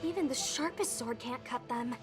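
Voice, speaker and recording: A woman's voice: fairly high pitch, normal speed, over music, lightly acted. A woman playing an earnest, youthful girl warrior in a video game. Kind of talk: animated video game dialogue.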